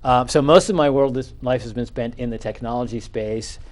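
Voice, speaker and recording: Middle-aged guy on a talk show, measured and explaining, lots of uhs, normal pitch. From Charleston, South Carolina. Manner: measured, explanatory, with frequent fillers. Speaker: guest, NAACP chapter president. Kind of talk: casual talk-show interview.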